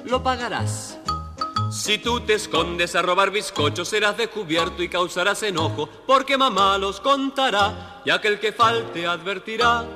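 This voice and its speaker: Very high male singer, reverberant. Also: animated, unhurried, bright, forceful tone. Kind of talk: moralizing children's song. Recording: long reverb with music.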